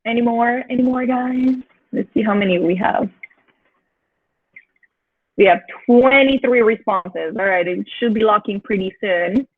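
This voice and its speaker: A woman's voice: fairly high pitch, a little slow, pauses often. Chatty Mexican-accented woman, tinny call audio. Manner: chatty and encouraging, many "um" fillers. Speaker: workshop presenter for teachers. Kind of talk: online teaching workshop with live polls. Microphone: video call.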